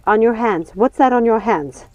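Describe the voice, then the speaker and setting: A woman's voice: fairly high pitch, moderate pace, darkish tone, no echo, faint music behind, voice pushed up, lightly acted, poor audio. A woman voicing a suspicious, angry woman in a dramatic audiobook, accusing and firm as she makes demands. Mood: demanding. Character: suspicious, angry woman, a witch's non-magical daughter.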